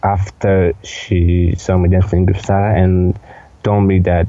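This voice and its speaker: Very mellow young Polish man, halting. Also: low-pitched, little pitch movement, dry recording, muffled recording. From Poland. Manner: hesitant, halting, repeats words. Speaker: podcast guest, dance instructor. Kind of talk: casual interview answers.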